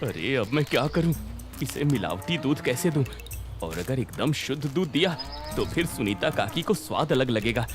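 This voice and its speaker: Man acting a flustered, animated greedy village milkman. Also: medium pitch, normal speed, natural voice. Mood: worried. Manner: flustered, stammering, exclamatory. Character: greedy, nervous, irritable village milkman husband. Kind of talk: children's moral story cartoon dubbing. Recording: music behind the voice.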